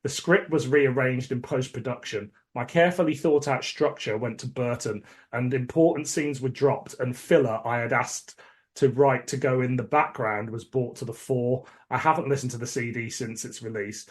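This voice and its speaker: A man's voice: medium pitch, moderate pace. A man hosting a podcast, giving background in a casual, informative and explanatory way. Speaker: podcast host. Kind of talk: casual, informative review chat.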